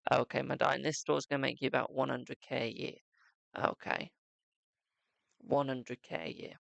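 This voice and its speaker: A man's voice: mid-range voice, flat delivery, normal speed, gravelly, a bit bright, dry recording, muffled recording. A man giving a live-stream psychic tarot reading, chatting to viewers and calling them "my darling".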